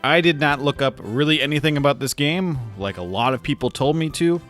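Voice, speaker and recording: A man's voice: fairly high pitch, fairly quick, fairly bright tone. Casual chatty man over background music. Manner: informal, chatty, lightly joking. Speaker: video host reviewing games. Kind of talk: casual scripted video commentary.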